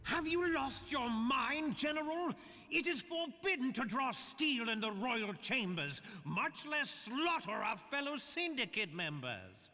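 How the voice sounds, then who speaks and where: An actor playing a haughty, conniving noble official who gives indignant commands. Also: male voice, very high voice, sing-song, unhurried, bright, forceful tone, big-room sound, full character voice.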